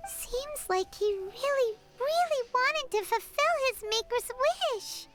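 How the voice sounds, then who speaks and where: Squeaky, very high childlike fairy companion. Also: female voice, animated, slow, exaggerated voice. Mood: musing. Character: tiny childlike fairy companion, excitable and chatty. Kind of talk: video game voice acting. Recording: loud game music behind.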